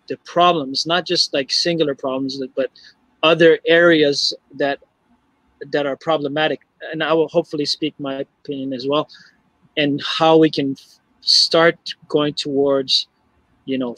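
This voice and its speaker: Podcast host guy, stops and restarts a lot, slightly higher voice, dry close sound. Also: steady tone, a bit bright, dry recording, low-quality recording. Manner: halting, many restarts while framing questions. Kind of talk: casual interview questions.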